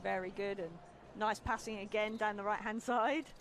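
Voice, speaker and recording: A woman doing relaxed, conversational live football commentary at an outdoor stadium. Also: medium pitch, moderate pace, background noise. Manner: relaxed, conversational, reacts to play. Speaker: match commentator.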